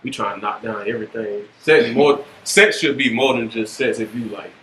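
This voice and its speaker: A man's voice: mid-range voice, moderate pace, a bit bright. Laid-back young man in a roomy space. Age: 20s. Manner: laid-back, slangy, reflective. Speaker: podcast co-host. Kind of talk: casual podcast discussion. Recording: roomy echo with faint background hiss.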